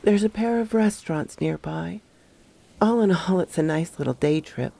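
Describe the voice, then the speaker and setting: A woman playing a troubled female park ranger who recounts her horror story in a measured, confiding first-person narration. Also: on the low side, gravelly, dark tone. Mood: wary, recounting.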